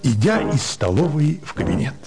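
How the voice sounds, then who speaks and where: A man narrating a literary radio drama with an expressive storytelling delivery. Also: on the high side, normal speed, creaky, over loud music.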